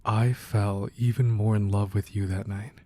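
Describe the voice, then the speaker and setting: A man playing a devoted, protective crime boss boyfriend in a romantic ASMR roleplay, speaking softly and earnestly with hesitant pauses. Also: lowish voice, level tone, close to an everyday voice. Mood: tender, reflective. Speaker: audio roleplay performer. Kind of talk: romantic ASMR roleplay, intimate scripted monologue.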